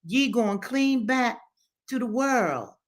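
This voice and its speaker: A woman's voice: a little high, animated, slow, voice pushed up. A woman voicing a devout older motherly woman who admonishes in folksy dialect, in a dramatic novel reading. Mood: worried admonishing.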